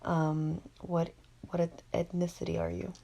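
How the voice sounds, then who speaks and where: A woman in her twenties from Florida hosting a podcast, chatty, with quick follow-up questions. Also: on the low side, flat delivery, gravelly, dark tone. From Florida, USA. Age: 20s. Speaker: podcast host. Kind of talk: casual interview.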